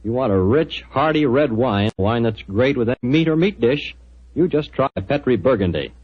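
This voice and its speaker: A man's voice: mid-range voice, animated, normal speed, husky. A man working as a 1940s radio announcer. He has a mid-range voice and a polished, persuasive delivery suited to sponsor spots and story introductions. The vintage recording is muffled.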